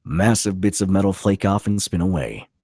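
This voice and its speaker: Male narrator for a screenplay read: low husky voice, very dynamic pitch, punchy clipped delivery. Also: lively intonation, normal speed, darkish tone, dry recording. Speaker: narrator reading stage directions. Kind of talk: dramatic scripted narration.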